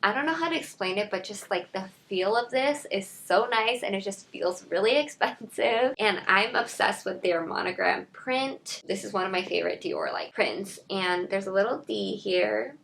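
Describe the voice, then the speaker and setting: Twenties female vlogger, mid-pitched and raspy with some fry, upbeat and chatty, recorded on a camera across the room. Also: normal speed, a bit bright, slight background noise. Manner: gushing, upbeat, lots of "like" fillers. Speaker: beauty and fashion vlogger. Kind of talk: casual unboxing vlog. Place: bedroom, indoors.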